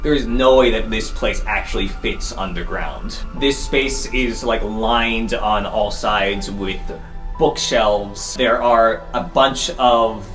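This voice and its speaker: Low-voiced male game master over music. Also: takes it slowly, a bit bright. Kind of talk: improvised tabletop game narration. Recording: instrumental music under the voice.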